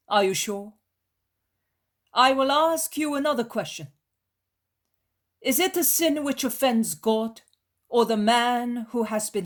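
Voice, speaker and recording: A woman playing a gentle yet commanding male religious teacher in a dramatised story, solemn and emphatic. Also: fairly high pitch, pitched above the performer's own voice.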